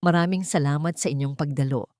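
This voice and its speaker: A proud, elderly matriarch played by a woman storyteller makes a formal address in an audio story. Her voice is low and stately, dignified and grateful. The sound is dry and close. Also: fast, a little mellow, no echo, lightly acted. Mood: stately, resolute. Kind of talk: storybook character dialogue.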